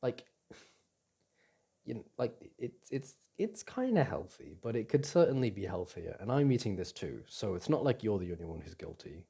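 A man playing a doting boyfriend in an intimate ASMR roleplay, speaking softly and breathily in a tender, reassuring manner. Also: on the low side, big pitch swings, moderate pace, creaky, husky, heavily acted. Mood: playful affection. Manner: tender, reassuring, with sighs and soft chuckles. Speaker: audio roleplay performer.

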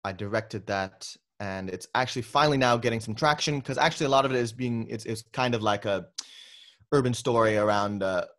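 A young man who grew up in the Philippines, talking about his film work in a relaxed, chatty way with frequent ums and restarts, over a video call from his bedroom. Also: medium pitch, a little fast. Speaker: guest filmmaker. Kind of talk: casual interview conversation. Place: bedroom at home.

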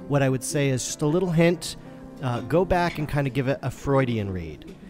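A man hosting a podcast, talking conversationally about literature. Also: medium pitch. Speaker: podcast host.

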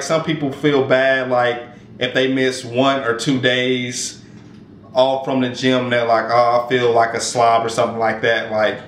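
A man in his thirties casually talking to camera about fitness from his home kitchen, relaxed and conversational with frequent fillers and restarts. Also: mid-range voice. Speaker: fitness vlogger. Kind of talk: casual vlog talk to camera. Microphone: camera mic at arm's length. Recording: echoey room, faint background noise.

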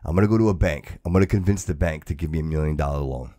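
Excitable man in casual podcast chat. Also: very deep voice, rapid-fire, dry recording, studio quality. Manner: excitable, insistent, repeats himself. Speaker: podcast host.

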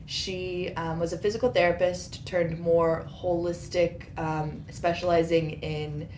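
A woman talks casually and in detail as a patient. Her voice sits a little low, with noticeable room echo and faint noise behind it. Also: level tone, measured pace, a little mellow. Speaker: patient, yoga teacher. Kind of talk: casual, detailed account of body complaints. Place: small carpeted treatment room. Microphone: boom microphone on a stand. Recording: roomy sound with background hiss.

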